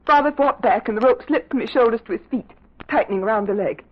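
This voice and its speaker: Woman playing husky, distressed young lady, radio drama. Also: on the high side, quick pace, lightly acted. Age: young adult. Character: distressed, earnest young daughter of a murdered man. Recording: dull lo-fi radio sound.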